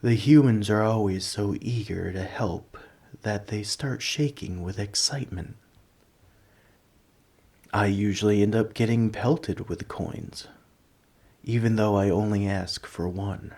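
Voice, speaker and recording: A man playing a large, ancient, nerdy dragon in an ASMR roleplay, polite and earnest but a little huffy, with a soft voice. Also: fairly low pitch, measured pace. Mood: friendly, earnest. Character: large, ancient, nerdy gentle male dragon, politely indignant coin collector. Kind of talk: ASMR roleplay audio drama.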